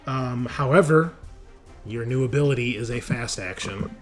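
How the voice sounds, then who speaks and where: A man with a mid-pitched voice works as game master for a tabletop game. He narrates scenes in the present tense like a casual storyteller, chatting through rules as he goes, over faint music. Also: normal speed.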